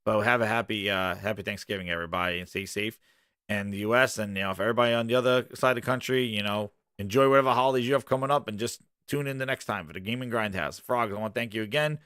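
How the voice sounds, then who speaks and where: Male streamer host, lowish voice, hoarse, rambling ranter, dry close sound. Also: fast, no echo. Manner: animated ranting, loose and rambling. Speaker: gaming podcast host. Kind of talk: casual livestream chat.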